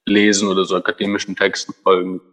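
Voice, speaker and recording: A man's voice: sits low, completely flat delivery, quick pace, a bit bright. A nineteen-year-old man from Greece, native Greek speaker, answering an interview casually with many fillers.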